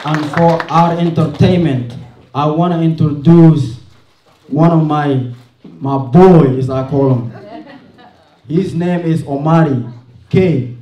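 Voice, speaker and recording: A man's voice: fairly high pitch, slow, long pauses, mellow, highs cut off. A man giving a casual talk at a showcase event, speaking over his slides, chatty and laughing often. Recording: echoey room from far off.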